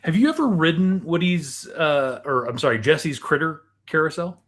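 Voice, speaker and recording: A man's voice: fairly high pitch, animated, normal speed, a bit bright. A man co-hosting a podcast in casual, conversational chat. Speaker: podcast co-host.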